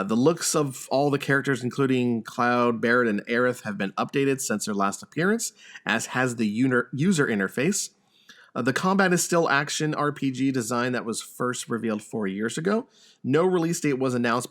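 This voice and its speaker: A man's voice: medium pitch, a bit bright. A man hosting a casual gaming podcast, presenting news and chatting with co-hosts. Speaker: podcast host.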